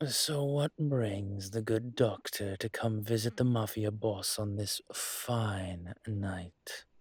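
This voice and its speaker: A man playing a courtly, possessive dragon mafia boss in an intimate audio roleplay, speaking in a suave, teasing, formal way with a husky voice. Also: on the low side, slow pace, no room sound. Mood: suave, flirtatious. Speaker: voice actor.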